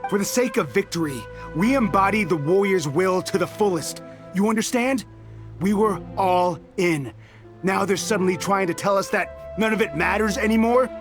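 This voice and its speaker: An actor playing a bitter, defiant young soldier in a video game, speaking in an impassioned, dramatic way. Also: male voice, sits high, moderate pace. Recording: music clearly under the voice. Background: game background music.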